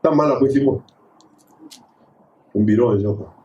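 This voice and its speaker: A man giving a measured formal address at a gathering. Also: mid-range voice. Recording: echoey, dull lo-fi sound.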